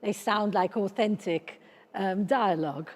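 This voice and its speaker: A woman's voice: medium pitch, a little slow, darkish tone. Hesitant woman in a reverberant hall. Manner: hesitant, many "um"s. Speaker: interviewer. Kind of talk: panel interview.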